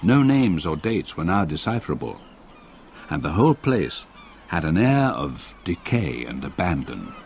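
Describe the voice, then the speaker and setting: An old English gentleman haunted by his past, narrating a radio ghost story. His low voice moves widely in pitch as he tells it gravely and with measure. Faint ambient noise sits behind him. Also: big pitch swings, unhurried, full character voice. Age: older. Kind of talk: dramatic radio play narration.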